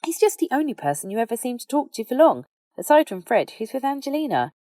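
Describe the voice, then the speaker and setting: A woman's voice: high voice, sing-song, fast talker, mellow, dry recording, pitched above the performer's own voice. A woman voicing a frank, nosy, caring young witch in an audiobook, talking informally, both hesitant and blunt. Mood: frank concern. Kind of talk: audiobook character dialogue.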